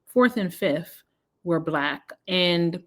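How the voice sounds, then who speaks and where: A woman in her thirties, from Jamaica, talks in a somewhat low voice. She chats loosely to camera as she walks through research findings. She often stops to fix her own words and laughs at her slips. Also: expressive, very slow. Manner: chatty, self-correcting, laughs at herself. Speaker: education research channel host. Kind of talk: casual solo vlog talk reading research abstracts.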